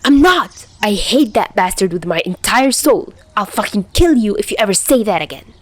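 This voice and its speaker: A woman's voice: mid-range voice, very animated, normal speed, dry recording, voice pushed up. A woman voicing a stubborn, hot-tempered teenage schoolboy in an audio story, blunt and easily embarrassed. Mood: furious shouting. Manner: blunt, swearing, blustering.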